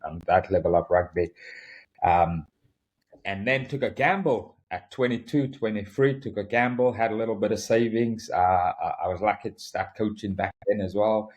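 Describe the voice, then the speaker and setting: A man's voice: fairly low pitch, normal speed, darkish tone. A man from South Africa with a South African accent, a rugby coach talking reflectively as a podcast guest, often repeating words and laughing. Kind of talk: podcast interview.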